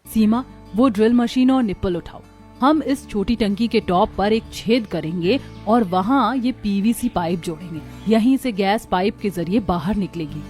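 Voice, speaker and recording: A woman's voice: medium pitch, moderate pace, a little mellow, faint music behind, close to an everyday voice. A woman voicing a confident eldest daughter-in-law in a village cartoon, explaining things in an assured, instructive way. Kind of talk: scripted cartoon dialogue.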